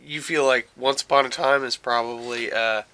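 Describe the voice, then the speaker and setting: A man hosting a casual, rambling podcast chat, haltingly searching for words and often repeating his phrases. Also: medium pitch, steady tone, pushed and bright, clean tone. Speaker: podcast host.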